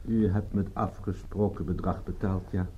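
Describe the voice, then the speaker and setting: An actor playing a cagey, dryly polite private detective in a radio play. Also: male voice, deep voice, flat delivery, normal speed, warm tone, natural voice. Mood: guarded, polite. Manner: dry, evasive, polite. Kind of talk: radio drama dialogue. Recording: muffled, noisy radio play recording.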